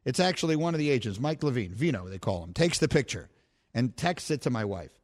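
A middle-aged man hosting a sports talk show, telling stories with animated self-mocking humor. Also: medium pitch, fast talker. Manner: animated storytelling, laughs at himself.

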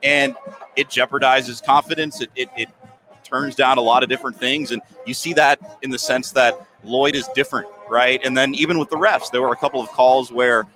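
A man's voice: medium pitch, steady tone, fairly quick, music in the background, rough recording. Man co-host breaking down basketball. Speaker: sports show co-host. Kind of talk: casual sports analysis.

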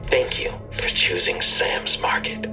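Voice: takes it slowly, over music. An actor voicing a sinister internet ghost that talks like a formal online market chatbot, heard through a computer speaker in an audio drama. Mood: cold, menacing. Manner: formal, scripted, repetitive customer-service phrasing. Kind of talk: full-cast audio drama acting.

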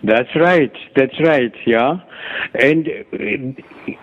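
A middle-aged Ethiopian-accented man speaks very slowly and haltingly, repeating himself as he feels for each phrase. His voice comes through a dull, lo-fi radio recording. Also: mid-range voice. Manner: halting, repeats and restarts words. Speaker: guest author and doctor. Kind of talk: interview answers.

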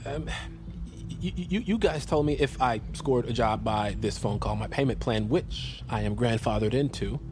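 An actor playing a shifty slacker who fibs in a hesitant, rambling, falsely sincere way, in a comedy audio drama. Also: male voice, medium pitch, moderate pace, background noise, lightly acted. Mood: nervous bluffing. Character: shifty, fast-fibbing young slacker dodging debt collector.

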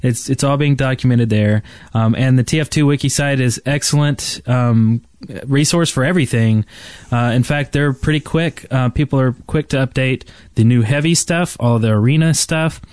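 A man's voice: mid-range voice, normal speed, a little mellow, no echo. A man hosting a podcast, chatting casually. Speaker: podcast host.

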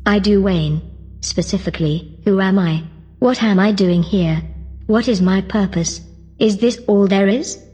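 A woman's voice: mid-range voice, unhurried, mellow, breathy edge. Woman voicing a reverberant, lonely female AI. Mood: bewildered, pleading. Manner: plaintive, questioning. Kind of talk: audio drama acting. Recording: long hollow reverb, faint music.

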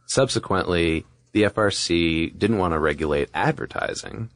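A man's voice: sits low, normal speed, dry recording, studio quality. A man co-hosting a podcast, explaining things in a casual, conversational way with asides. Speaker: podcast co-host.

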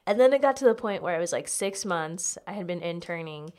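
A woman's voice: fairly low pitch, animated, brisk, darkish tone. A young American woman telling personal stories in a casual chat, informally, peppering her sentences with "like" and swearing freely. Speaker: podcast guest, comedian.

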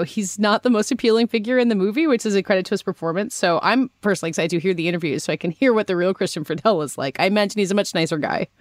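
A podcast co-host talks quickly in a casual, upbeat way. The voice is recorded dry and close. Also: medium pitch, no echo. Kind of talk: casual chat.

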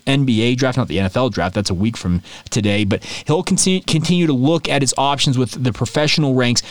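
A man hosts his own sports podcast, talking to his listeners in a chatty, animated way. His voice sits at an ordinary middle pitch. It is recorded close on a mic with a very dry sound. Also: no echo. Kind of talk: solo sports podcast commentary.

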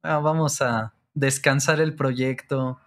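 A man's voice: mid-range voice, steady tone, slow. A man with a Mexican accent talking in a laid-back, slangy way as a guest in an interview. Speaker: guest, co-creator of a Spanish-learning channel. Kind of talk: casual interview chat.

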